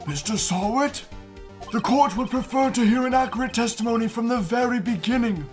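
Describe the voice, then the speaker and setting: Man doing a pompous, distant-sounding elderly judge. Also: very high-pitched. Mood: solemn. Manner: formal, pompous, measured. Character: elderly male judge, stern, deliberate, dignified. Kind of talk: video game fan dub. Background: game music.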